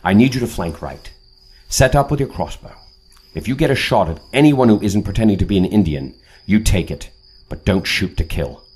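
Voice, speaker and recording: An actor playing a self-assured, punctual leader of secret agents in an audio drama, formal and commanding. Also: male voice, lowish voice, expressive, normal speed, darkish tone, breathy edge.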